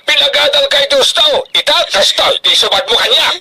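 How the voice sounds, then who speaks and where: An actor playing a harsh, hot-tempered middle-aged father in a radio drama, loud, emphatic and wailing. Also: very high voice, expressive, a little fast, gravelly, full character voice. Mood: angry, scolding. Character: harsh, hot-tempered father scolding his grown daughter.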